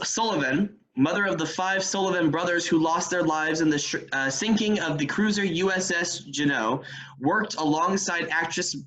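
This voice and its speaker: A man's voice: high-pitched, pushed and bright, poor audio. A man playing a sober 1940s radio news announcer in an amateur play reading over a video call, stumbling slightly. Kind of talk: amateur table read of scripted news.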